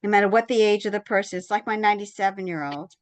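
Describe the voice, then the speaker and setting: A woman co-host speaks emphatically in a relaxed conversation, sometimes rushing through a phrase in a quick burst. Her voice sits at a medium pitch. The recording is dry and close, with no room echo. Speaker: bereavement specialist, co-host. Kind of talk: casual heartfelt conversation.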